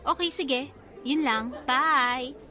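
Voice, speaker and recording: A woman acting a curt, bossy young elder sister in a radio drama, with a Filipino accent and brisk delivery. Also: high-pitched, bright, forceful tone, faint music behind, heavily acted. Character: Sharon, bossy, curt older sister, young female university dancer.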